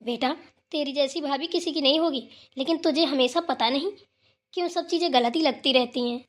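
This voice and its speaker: High-voiced, chiding village mother-in-law acted by a woman. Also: brisk, lightly acted. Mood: fond, chiding. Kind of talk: Hindi family moral cartoon dialogue.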